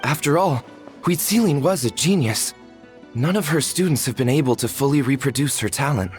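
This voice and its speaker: A man's voice: medium pitch, normal speed, lightly acted. An actor playing a nervous, humble male villager in a video game, respectful and apologetic. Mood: humble, explanatory. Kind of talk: English video game voice acting. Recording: faint background music under the voice.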